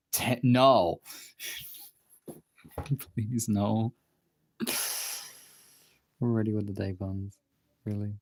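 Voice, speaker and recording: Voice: mid-range voice, fairly even intonation, extremely slow, pauses often, a little mellow, no echo. A livestream host with a congested, tired voice, chatting casually and informally to viewers while drawing, rambling and swearing now and then.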